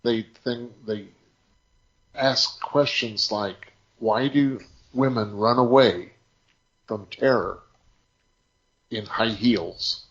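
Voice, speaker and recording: A man telling anecdotes in casual podcast chat, speaking in short phrases broken by pauses. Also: mid-range voice, a bit bright, lo-fi sound. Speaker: podcast co-host.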